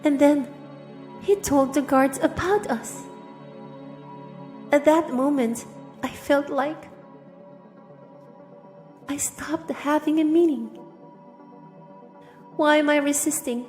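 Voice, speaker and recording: High-voiced woman narrating dramatically in reverb. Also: slow pace, long pauses, a little mellow. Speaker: story narrator. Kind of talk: dramatic first-person scripted narration. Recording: long reverb, music bed. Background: music.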